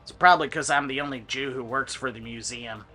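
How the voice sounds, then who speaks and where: Man acting a crude old security guard, self-pitying grumble, video game character, bright forceful tone, faint music. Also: medium pitch, fairly quick, natural voice. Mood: grumbling, self-pitying.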